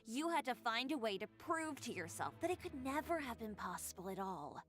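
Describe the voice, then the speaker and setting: A woman's voice: fairly high pitch, big pitch swings, a little fast. An actress playing a proud, determined young female fashion designer in a game, speaking in a firm, cool, lecturing way. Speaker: game character.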